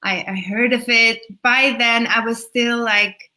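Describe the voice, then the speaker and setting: Bright-voiced woman from Switzerland. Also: fairly high pitch, fairly even intonation, a little slow. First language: Swiss German. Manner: chatty, laughs between thoughts. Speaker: guest, yoga teacher. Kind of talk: casual interview talk.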